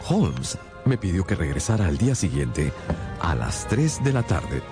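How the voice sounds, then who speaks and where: Radio drama narrator: loyal gentleman companion, extremely low register, measured yet expressive, music behind. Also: exaggerated voice. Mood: earnest, absorbed. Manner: measured, storytelling. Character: loyal gentleman narrator, the detective's admiring male friend. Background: music underneath.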